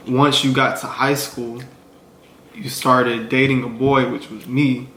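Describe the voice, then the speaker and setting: A man's voice: medium pitch, flat delivery, slow. A young man co-hosting a couple's vlog, teasing his partner and recapping her story with pointed questions. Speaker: co-host. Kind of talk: casual couple chat. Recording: echoey room with clear background noise.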